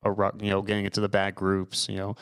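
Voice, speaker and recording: Young male host, low voice, dry close sound, loose and rambling. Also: completely flat delivery, quick pace, fairly bright tone, clear, resonant tone, dry recording. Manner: rambling, many fillers and restarts. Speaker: podcast host. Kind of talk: casual interview chat.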